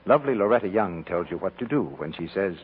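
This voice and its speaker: A man's voice: low-pitched, expressive, fast talker. A man announcing in scripted 1940s radio commercial style, on a dull, hissy old recording.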